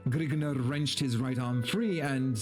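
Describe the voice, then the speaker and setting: A man giving a dramatic, deliberate story reading, dropping into casual wry asides. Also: medium pitch, darkish tone, soft background music. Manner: theatrical, deliberate, breaks off into casual wry remarks. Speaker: streamer reading aloud.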